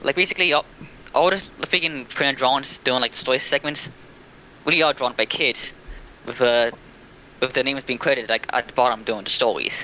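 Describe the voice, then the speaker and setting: A man with a bright, pushing voice talks casually on a stream. He feels his way through sentences with many fillers. The mic sounds dull and lo-fi, with faint noise. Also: medium pitch, fairly even intonation, moderate pace. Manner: hesitant, heavy use of filler words. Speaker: stream participant. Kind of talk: casual discussion on a livestream.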